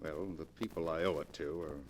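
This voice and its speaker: An actor playing a scheming, debt-ridden gambler nephew in 1940s radio mystery style, with insinuating, deliberate delivery. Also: male voice, extremely low pitch, steady tone. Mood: pressing, desperate. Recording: noisy old 1940s radio recording.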